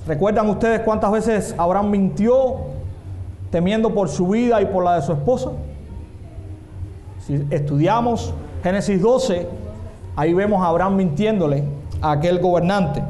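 A man's voice: sits high, normal speed, long pauses, dark tone. A man preaching a sermon, emphatic and often asking the congregation questions. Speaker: pastor. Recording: echoey room with faint noise.

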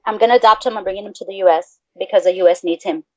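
A Mexican American woman from the Texas border co-hosting a casual podcast, excitable, laughing easily and swearing freely. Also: mid-range voice, very fast, no echo, dull audio. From Texas border region, USA. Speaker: podcast co-host. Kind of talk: casual chat.

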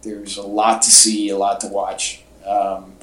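A man's voice: on the low side, flat delivery, measured pace, a bit bright. A man chatting casually as a podcast host, talking like an enthusiastic fan with plenty of casual slang. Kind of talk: casual pop-culture podcast chat. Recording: echoey, roomy home podcast audio.

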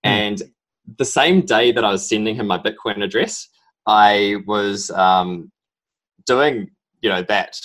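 A young man from New Zealand with a strong New Zealand accent, a podcast guest telling his story in a halting, rambling way full of ums. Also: on the low side, a bit bright, low-quality recording. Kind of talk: casual interview talk.

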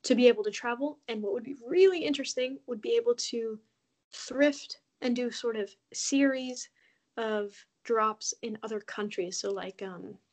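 A woman from Mexico, a podcast guest, talking in a relaxed, chatty way with frequent ums. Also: a little high, normal speed. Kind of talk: casual interview conversation.

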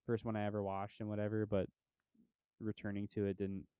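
A man's voice: lowish voice, completely flat delivery, a little fast, clear, resonant tone, dry recording, lo-fi sound. A young man co-hosting a podcast, chatting casually about movies in a laid-back, rambling way with lots of fillers. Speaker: podcast co-host. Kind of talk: casual movie review chat.

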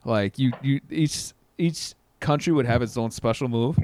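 A man's voice: medium pitch, moderate pace, a little mellow. Slangy, enthusiastic man on a podcast. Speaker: podcast co-host. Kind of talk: casual chat.

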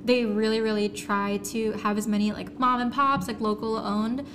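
A woman's voice: a little high, level tone, normal speed. A young American woman from San Francisco co-hosting a podcast in an informal, chatty way. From San Francisco, United States. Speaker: podcast co-host and barista. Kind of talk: casual podcast chat. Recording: clear background noise.

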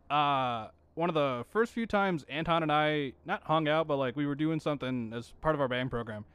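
A young man co-hosting a podcast, telling animated stories and laughing often. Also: on the high side, moderate pace, smooth. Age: young adult. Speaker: podcast co-host. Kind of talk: casual chat.

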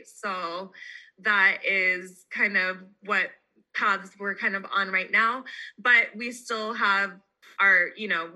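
A woman from Hawaii, a podcast guest, answering in a relaxed, halting way and laughing easily. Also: medium pitch, rough recording. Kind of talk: casual interview answers.

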